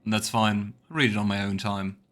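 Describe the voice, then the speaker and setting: A man's voice: lowish voice, level tone, moderate pace, a bit bright. A man hosting a let's play, giving casual game commentary with wry, joking asides. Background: game ambience.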